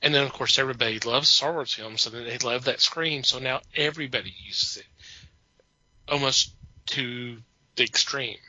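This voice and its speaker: A man chatting casually about films as a podcast co-host, in a halting way that repeats words and restarts sentences. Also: mid-range voice, sharp tone, dry recording, muffled recording. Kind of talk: casual film chat.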